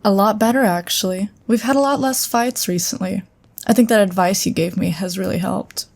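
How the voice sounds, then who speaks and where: A woman's voice: medium pitch, normal speed, gravelly, a little mellow. A woman playing an earnest, loyal female psion colleague who speaks directly. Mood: warm. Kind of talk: fan audio drama acting.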